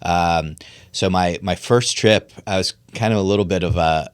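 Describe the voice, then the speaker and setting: Middle-aged man, very low voice, dry close sound, earnest, halting with ums. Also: clean tone, no room sound. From Pennsylvania, USA. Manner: thoughtful, earnest, many ums and restarts. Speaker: podcast guest, political strategist. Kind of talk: conversational podcast interview.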